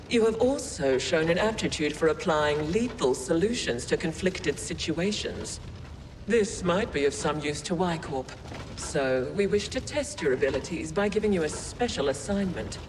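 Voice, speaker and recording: A man's voice: fairly high pitch, natural voice. A man playing a condescending corporate overlord in a video game, speaking in pompous, formal, disdainful phrases. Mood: imperious. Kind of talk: scripted video game villain monologue. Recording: long hall reverb, noisy ambience behind.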